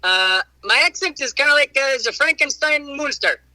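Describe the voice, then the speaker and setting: Performer doing a cheerful cheesemaker guy with a patchwork European accent; very bright, dry sound. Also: high-pitched, lively intonation, moderate pace, no room sound, heavily acted, low-quality recording. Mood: eager. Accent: put-on mixed European. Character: eager male cheesemaker with mixed foreign accent. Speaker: interview guest (comic character). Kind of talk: comic phone interview bit.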